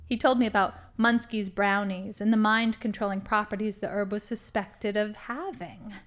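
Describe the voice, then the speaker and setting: A woman's voice: mid-range voice, fairly even intonation, moderate pace, a bit bright, close to an everyday voice. A woman acting as a boastful, theatrical villain, a cheerfully murderous crime boss in a comedy audio drama. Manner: gleeful, boastful, dramatic monologuing.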